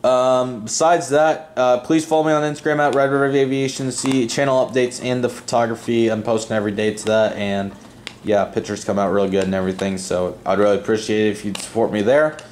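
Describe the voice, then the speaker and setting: A man's voice: medium pitch, flat delivery, fairly quick, darkish tone, a little hiss or hum. A man hosting a hobby video channel, talking in a casual, earnest, rambling unscripted style. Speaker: host of a model airport video channel. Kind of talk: casual unscripted vlog voice-over.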